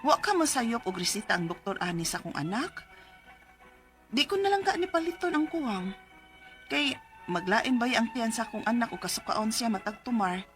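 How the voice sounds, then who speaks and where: A woman's voice: fairly high pitch, sing-song, moderate pace, faint music behind. An actress playing a worried middle-aged Cebuano mother, reading her letter of troubles in an anxious, questioning, emphatic way for a radio drama. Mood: anxious, pleading.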